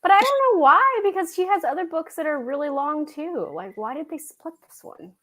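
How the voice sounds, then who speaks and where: A woman's voice: high voice, lively intonation, moderate pace, a bit bright. A woman talking casually as a panelist in a group book chat.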